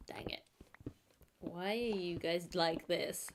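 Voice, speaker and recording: A woman's voice: lowish voice, takes it slowly. A woman live streaming from home, chatting casually and rambling with many fillers. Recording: noisy home stream audio.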